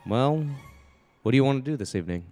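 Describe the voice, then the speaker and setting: Man acting a lively, dismissive radio-play husband. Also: mid-range voice, fast, faint music behind, natural voice. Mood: breezy. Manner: brusque, placating. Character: dismissive, reassuring husband and father, practical.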